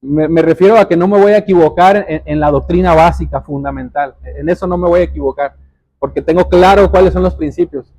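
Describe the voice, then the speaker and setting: High-pitched male preacher, soft-toned and didactic. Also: a little fast, dry recording, poor audio. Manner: didactic, repeats key phrases, puts questions to listeners; addresses listeners directly as brothers. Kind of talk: sermon with scripture reading.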